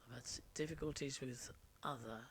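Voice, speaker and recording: A man narrating an informal software tutorial, explaining step by step in short, halting phrases. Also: mid-range voice. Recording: steady background noise, mouse clicks. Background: faint noise and clicking.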